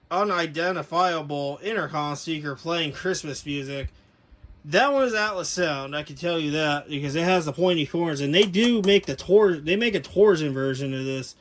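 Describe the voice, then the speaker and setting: A man giving a casual, unscripted show-and-tell as a hobbyist presenter, talking in a rambling, halting, detail-focused way. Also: on the high side, a bit bright. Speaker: hobbyist presenter showing his speaker collection.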